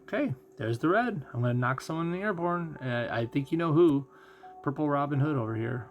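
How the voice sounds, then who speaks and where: A man giving casual live game commentary as a streamer, musing and thinking out loud as he goes. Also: a little high, lively intonation, brisk, muffled recording, slight background noise. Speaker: gaming streamer.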